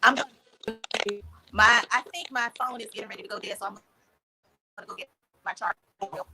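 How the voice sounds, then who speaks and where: A woman on a casual livestream who talks in quick, apologetic asides. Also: mid-range voice, fairly even intonation, sharp tone. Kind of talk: casual live chat.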